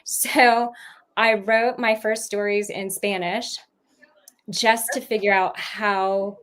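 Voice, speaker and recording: A woman's voice: mid-range voice, level tone, slow pace, fairly bright tone. A woman author and former teacher in casual livestream chat, rambling with lots of ums and laughing mid-sentence, on a video call.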